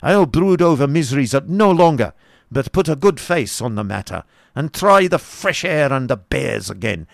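A man's voice: lively intonation, moderate pace, vocal fry, no echo, studio quality. A man voicing a frank, blustery baron in a storybook reading, with an exclamatory, emphatic delivery. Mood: defiant. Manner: exclamatory, emphatic, jumping up in pitch.

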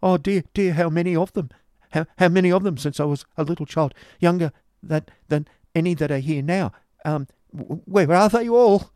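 High-voiced, stammering, frightened youth. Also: male voice, takes it slowly, few pauses, dark tone, no echo, studio quality. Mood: sobbing, despairing. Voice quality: high, strained voice. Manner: stammering, pleading, anguished. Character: timid, broken-spirited simple youth, a frightened abused drudge.

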